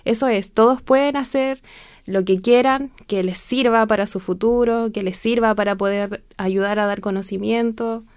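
Young female student guest, southern Chilean accent, clean smooth tone, dry close sound, casual rambling answers. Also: a little high, steady tone, normal speed, no echo. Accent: southern Chilean Spanish. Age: young adult. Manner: informal, rambling, repeats phrases. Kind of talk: casual spoken interview.

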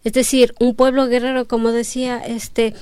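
A woman's voice: fairly high pitch, flat delivery, moderate pace, warm tone, clear, resonant tone, dry recording, studio quality. A woman from Ecuador whose first language is Quichua, an academic guest explaining her points earnestly on a talk programme. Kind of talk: conversational panel discussion.

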